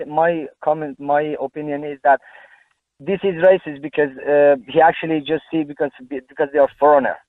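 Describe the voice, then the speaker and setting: A man from Afghanistan calling in to a radio show, speaking haltingly with many restarts over a phone line. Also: on the high side, no room sound. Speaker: caller. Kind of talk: phone-in call.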